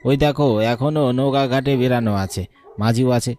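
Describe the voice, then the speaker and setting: A man's voice: mid-range voice, fairly even intonation, a little fast, warm tone, natural voice. A man acting a carefree young village husband in a cartoon, coaxing and reassuring in a breezy, insistent way. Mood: dismissive reassurance.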